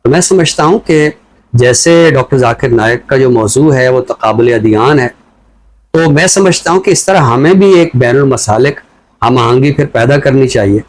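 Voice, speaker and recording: A man with a Pakistani accent giving reflective talk show commentary in a deliberate, explanatory way, often posing rhetorical questions. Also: medium pitch, lively intonation, low-quality recording.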